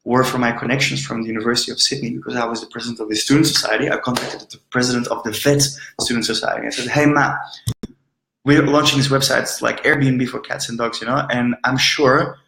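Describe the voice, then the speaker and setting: A man's voice: medium pitch, fairly even intonation, fast talker, vocal fry, sharp tone, echoey room, slight background noise, low-quality recording. A man from Belgium with a Belgian accent, a startup founder telling his story in a casual interview, often saying "look" and "right?". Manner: chatty, peppers talk with "look" and "right?".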